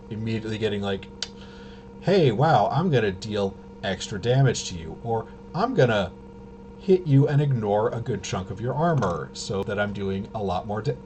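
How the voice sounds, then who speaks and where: A man with a fairly low voice talks casually to his livestream. His delivery is unscripted and halting, wandering through half-finished sentences. A clear noisy hum sits behind his voice throughout. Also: lively intonation, darkish tone. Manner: halting, rambling, often trailing off mid-sentence. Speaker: livestream host. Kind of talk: casual unscripted stream commentary.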